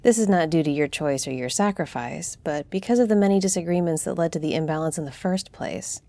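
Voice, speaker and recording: Woman acting as stately, mellow-voiced council leader. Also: low-pitched, no echo. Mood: formal, official. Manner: formal, authoritative, deliberate. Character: stately female griffin-shifter council leader, solemn, fair-minded diplomat. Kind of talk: fantasy audio roleplay acting.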